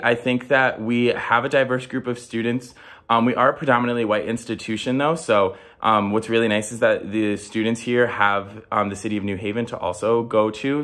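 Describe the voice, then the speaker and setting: A man's voice: fairly low pitch, a bit bright. A young man from Connecticut giving an earnest, upbeat webcam testimonial as a student speaker, with frequent ums, recorded in a small bedroom. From Connecticut, USA. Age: young adult. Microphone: laptop webcam.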